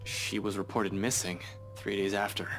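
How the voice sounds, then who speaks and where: Very low-voiced, guarded young investigator. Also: male voice, level tone, normal speed, a bit bright, a little hiss or hum. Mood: troubled. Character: guarded, dry young male police investigator. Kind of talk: visual novel voice acting.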